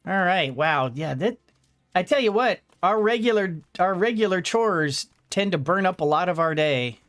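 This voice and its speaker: A woman giving casual video game commentary, halting as she thinks aloud. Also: lowish voice, lively intonation. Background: game music.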